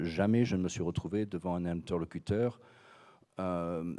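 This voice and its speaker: French business guy talking on a panel, very low mellow voice, keeps stumbling on words. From France. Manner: hesitant, many fillers and repeated words. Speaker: cognac company head, panel speaker. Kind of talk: conference panel talk. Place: conference hall.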